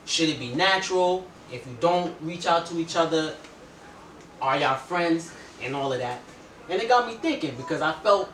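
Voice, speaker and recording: A man's voice: fairly high pitch, a bit bright. A man hosting a podcast in casual, slangy, reflective conversation. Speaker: podcast host. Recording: roomy echo, distant mic, faint noise.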